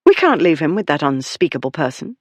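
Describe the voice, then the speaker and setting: A narrator voicing an indignant aristocratic young lady who scolds crisply and imperiously. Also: medium pitch, very animated, brisk, dry recording.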